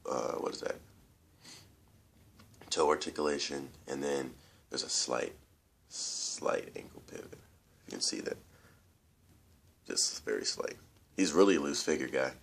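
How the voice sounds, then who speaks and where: A young man with an extremely low, deep voice, stuffed up and congested from allergies. He rambles loosely through a home toy review, full of fillers, and keeps calling his viewers "guys.". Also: vocal fry, fairly bright tone. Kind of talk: casual toy review vlog. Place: room at home, indoors.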